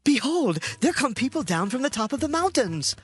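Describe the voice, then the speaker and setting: Alarmed ancient rebel, very high swooping pitch, excited calls, scripture drama, faint music. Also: male voice, moderate pace, slightly rough, no echo, heavily acted. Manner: excited, calling out. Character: alarmed male rebel lookout of biblical times.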